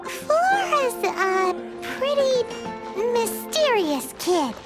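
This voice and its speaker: A woman voicing a tiny, childlike, excitable fairy companion in a video game, given to exclamations. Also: extremely high pitch, drawn-out words, exaggerated voice. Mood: curious. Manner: refers to self in third person, exclaims. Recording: music behind the voice.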